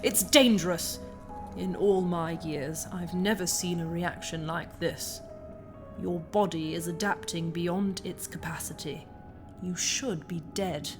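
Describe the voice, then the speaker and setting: A woman's voice: on the low side, steady tone, takes it slowly. A woman playing a towering, stern alien healer in an audio drama, sardonic and bitter, with an imperious, blunt delivery. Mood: stern, alarmed. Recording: music behind the voice.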